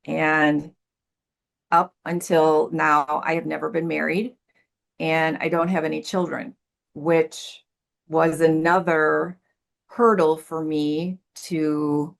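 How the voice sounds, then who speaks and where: A woman's voice: low-pitched, flat delivery, takes it slowly, vocal fry. A woman in her sixties, a podcast guest answering thoughtfully over a video call, earnest and repeating words as she searches for them. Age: 60s. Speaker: podcast guest, life coach. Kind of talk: reflective interview answers.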